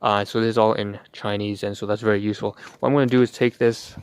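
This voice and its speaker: Guy w low voice rambling on a livestream, wordy n hesitant, pretty monotone, dry close sound. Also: fast talker, clear, resonant tone, no room sound. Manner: hesitant, wordy, formal phrasing. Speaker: livestream host. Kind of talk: rambling vlog narration while sorting paperwork.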